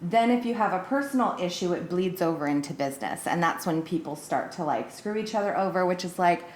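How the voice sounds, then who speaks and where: A woman's voice: lowish voice, animated, fairly quick. A woman in her thirties, a podcast guest, sharing personal stories in an informal, conversational way. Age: 30s. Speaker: podcast guest, hair stylist. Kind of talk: casual chat, personal anecdotes. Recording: echoey room, clear background noise.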